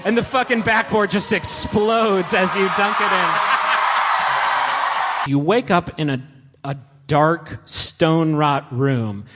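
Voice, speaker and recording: A man's voice: high voice, takes it slowly, heavy background noise. A man narrating a live tabletop game as game master, with dramatic, colloquial storytelling. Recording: echoey hall, live show with music.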